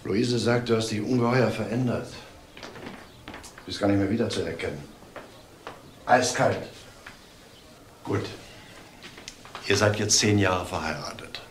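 An actor playing an apologetic, well-meaning brother-in-law, tactful and hesitant, in a crime radio drama. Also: male voice, on the low side, measured pace, long pauses, slight background noise, lightly acted. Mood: earnest, reproachful.

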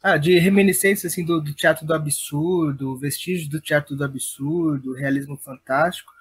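A man's voice: a little high, steady tone, moderate pace, a little hiss or hum. A man with a São Paulo Brazilian accent speaking casually as an interview guest, hesitant and often checking in as he explains. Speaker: guest, theatre director and playwright.

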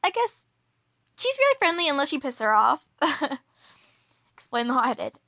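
A young woman chatting casually to viewers in a gushing, excitable, giggly manner, as an amateur voice actress promoting a project. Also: high-pitched, unhurried, no room sound, clean studio sound. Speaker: amateur voice actress introducing a role.

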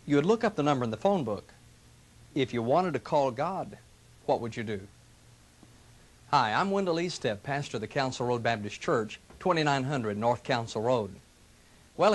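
A middle-aged man, a pastor, speaking in an earnest, gentle, inviting tone in scripted television ads from the 1980s. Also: mid-range voice, low-quality recording. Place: studio sets and church rooms, indoors. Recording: 1980s local TV ad audio.

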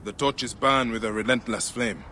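An actor playing a stern, principled male desert warrior and protector in a video game, speaking in a formal, measured way. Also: mid-range voice, bright, forceful tone, slight background noise, close to an everyday voice. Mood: grave, measured. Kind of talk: dramatic game cutscene dialogue.

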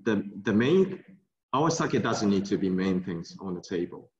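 A young man with a Japanese accent speaking halting English, often repeating words, on a video call. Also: lowish voice, big pitch swings, darkish tone. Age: young adult. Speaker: brewery guest. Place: tasting room with bottle shelves. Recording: roomy, dull video call audio.

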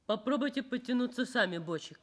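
An actress playing a caring but reserved young nurse in a radio play, firm and encouraging. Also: female voice, a little high, lively intonation, brisk, fairly bright tone. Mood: brisk, firm. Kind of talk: Soviet radio play acting.

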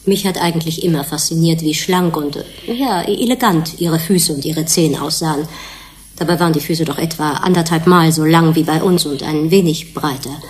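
An actress playing an earnest scientist in a German radio drama, lecturing colleagues in a measured, precise, didactic way. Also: female voice, sits low, a little mellow, a little hiss or hum, lightly acted. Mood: earnest, explanatory.

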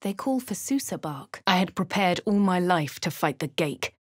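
An actress voicing a posh English woman adventurer in a video game, speaking crisply with a dry, self-assured manner. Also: fairly low pitch, lively intonation, normal speed, no echo. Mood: wry. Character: posh young woman adventurer, cool and wry. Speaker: player character. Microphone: close studio mic.

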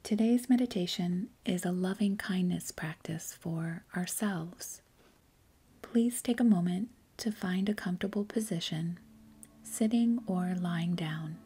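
A woman leading a guided meditation in a soft, breathy, gentle and soothing way, with unhurried pauses. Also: lowish voice. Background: faint music.

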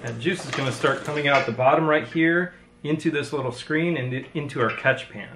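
A man's voice: fairly high pitch, brisk, noisy background. A man, hosting a homesteading how-to vlog, explaining each step steadily to camera on a lapel mic. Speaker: homesteading channel host. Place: home workshop barn, then a kitchen, indoors. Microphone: clip-on lapel mic.

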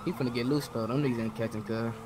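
A man's voice: mid-range voice, fairly even intonation, rapid-fire, a little mellow, a little hiss or hum. An American man in improvised street gang roleplay chat, slangy, profane and urgent. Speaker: online roleplay player.

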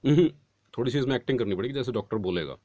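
A man's voice: medium pitch, expressive, racing, dark tone, dry recording. A man coaching a voice-over audition, giving casual instructions and demonstrating lines in a directive manner.

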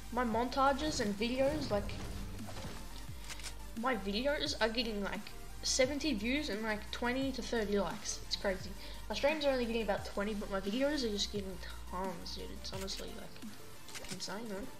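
A woman's voice: a little high, moderate pace, poor audio. Voice-fading woman streamer over music. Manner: rambling, filler-heavy, laid-back slang. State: voice keeps giving out. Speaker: game streamer. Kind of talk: casual live-stream chat while gaming. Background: background music.

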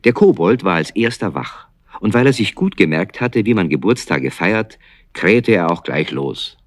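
Very deep-voiced male storyteller. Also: animated, moderate pace. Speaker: narrator. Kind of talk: scripted children's story narration.

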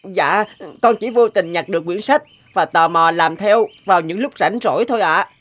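A man's voice: high-pitched, normal speed, fairly bright tone. An actor playing a humble, earnest sixteen-year-old enslaved boy inventor in a cartoon, polite and sincere. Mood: modest. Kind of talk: cartoon dubbing.

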